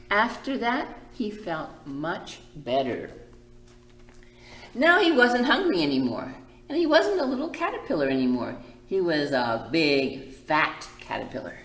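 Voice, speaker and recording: A woman hosting a children's storytime, speaking expressively in simple phrases and drawing words out for young listeners. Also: mid-range voice. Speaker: children's librarian and storytime host. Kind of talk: children's storytime reading and teaching. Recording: echoey room.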